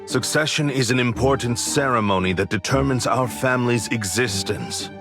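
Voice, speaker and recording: A man's voice: mid-range voice, normal speed, over music, close to an everyday voice. A man playing an aging noble father in a game, grave and formal, stern but caring. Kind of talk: anime-style game voice acting.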